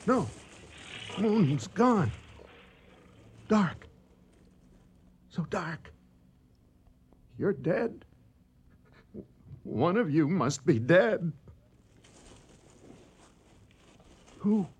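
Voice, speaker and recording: An actor playing an exhausted, frightened lone hunter in a radio drama monologue, muttering in broken, repeated fragments. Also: male voice, high voice, very animated, drawn-out words, pauses often, a little mellow, breathy edge, heavily acted. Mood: frantic, terrified. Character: exhausted, terrified lone hunter stalking a lion at night. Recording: noisy old radio drama recording.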